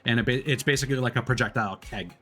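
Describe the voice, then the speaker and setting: A man chatting casually while live streaming a game, explaining things in a rambling, halting way. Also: mid-range voice, bright, forceful tone, faint music behind. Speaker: live streamer playing games. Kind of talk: casual chat while gaming.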